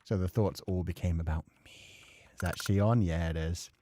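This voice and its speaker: A man's voice: deep voice, steady tone, moderate pace, dark tone, slight background noise. A man doing casual let's play commentary, muttering sweary asides and reading bits of game text aloud to himself. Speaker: gaming streamer.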